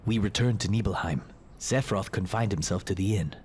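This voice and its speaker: A man's voice: fairly low pitch, fairly quick, a little mellow, slightly rough. An actor playing a somber young male mercenary in a video game, recalling his past in a subdued, reflective way.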